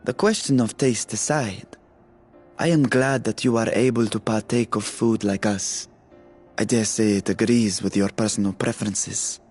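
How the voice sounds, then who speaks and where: A man's voice: fairly low pitch, steady tone, normal speed, a little mellow. A man playing a courteous, earnest nobleman in a game cutscene, speaking formally and politely. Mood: gracious. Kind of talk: video game cutscene acting. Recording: music behind.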